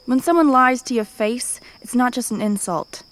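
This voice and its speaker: A woman's voice: on the high side, normal speed, natural voice. Woman acting as tough, wary outlaw thief queen. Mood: wary, firm. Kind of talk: audio drama acting. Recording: faint night insect ambience.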